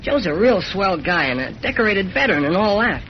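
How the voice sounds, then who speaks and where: An actor playing an eager, earnest young cub reporter in a folksy 1940s radio drama style. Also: male voice, high-pitched, big pitch swings, brisk. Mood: earnest, recounting. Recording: muffled, noisy old radio recording.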